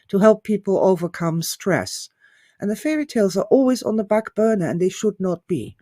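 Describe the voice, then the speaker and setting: A woman's voice: lowish voice, warm tone, dry recording, clean studio sound. A middle-aged woman giving an informal, enthusiastic talk as an author and teacher, gushing and repeating phrases for emphasis.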